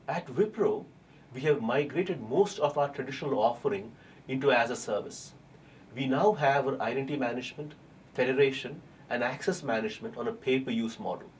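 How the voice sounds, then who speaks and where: A man's voice: mid-range voice, recorded from a distance, slight background noise. Formal man speaking from off-mic. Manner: formal, steady. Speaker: security applications manager. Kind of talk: recorded interview answer.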